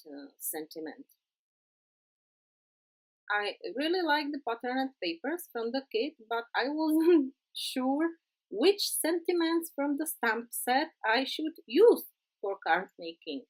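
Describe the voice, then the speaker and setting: A woman with a Czech accent, a non-native English speaker, narrating a card-making tutorial in a halting way with many pauses. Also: mid-range voice, low-quality recording. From Czech Republic. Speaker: crafting tutorial host.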